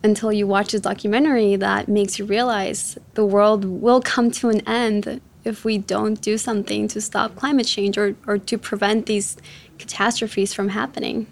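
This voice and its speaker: A woman's voice: mid-range voice, steady tone, moderate pace, gravelly. A woman in her twenties from Ecuador who grew up in Chicago, telling personal stories as an interview guest. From born in Ecuador, raised in Chicago from age seven. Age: 20s. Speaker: interview guest, ocean activist.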